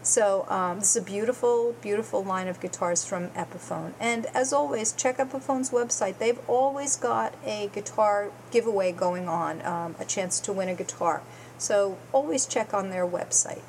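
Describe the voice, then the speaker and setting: A woman hosting a guitar show reads her prepared segment in an earnest, careful way, taking lists item by item. Her voice sits at a middle pitch, with a faint noise behind it. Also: normal speed, a little mellow.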